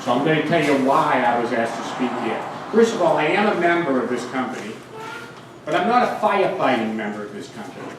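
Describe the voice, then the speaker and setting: A man's voice: medium pitch, sing-song, a little fast. A man in his sixties with a Boston accent gives an informal, emphatic public speech in a plain-spoken way. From United States. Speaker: guest speaker, fire protection engineer and town mayor. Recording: very echoey, distant microphone, faint noise.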